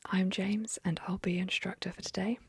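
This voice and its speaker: A woman's voice: medium pitch, little pitch movement, brisk, a little hiss or hum, natural voice. A woman whispering the lines of a friendly young male rowing instructor in a story reading.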